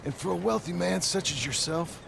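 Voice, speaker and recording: An actor playing a rough, menacing American outlaw in a video game, with a gravelly, folksy drawl and a mock-polite, sardonic manner. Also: male voice, high voice, a little fast, background noise. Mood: mock-polite menace. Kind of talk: video game cutscene acting.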